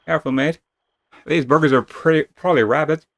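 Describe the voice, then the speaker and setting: A man's voice: fairly high pitch, a little fast, no room sound, close to an everyday voice. Man voicing a cocky, slangy young fighter girl. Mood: cocky, ready. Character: Kid, tough young female fighter, cocky and composed.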